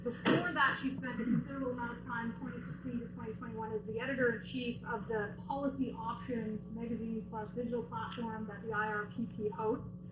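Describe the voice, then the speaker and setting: A fairly high-voiced woman gives a chatty, rambling introduction at a university event, with plenty of fillers and side remarks. Her voice rings around an echoey lecture room, and a steady noise sits beneath it. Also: a little fast, hardly any pauses. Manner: chatty, warm praise with asides and fillers.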